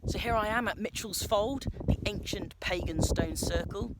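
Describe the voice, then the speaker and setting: A man's voice: high-pitched, moderate pace, heavy background noise. A man narrating a travel story as a storyteller, speaking to camera outdoors with a wry, playful manner. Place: outdoors on a cycling trip.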